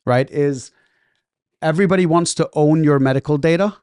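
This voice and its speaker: Chatty man with a smooth clear voice. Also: on the high side, moderate pace, darkish tone, dry recording, studio quality. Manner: chatty, ends points with "right?". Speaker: podcast co-host. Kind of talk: casual business podcast chat.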